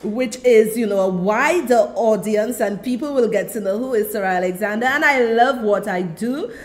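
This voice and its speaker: Trinidadian woman, radio host, high voice, chatty, talks straight to listeners, studio mic. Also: moderate pace. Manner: chatty, speaks directly to listeners. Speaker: radio and web show host. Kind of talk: solo radio talk show monologue.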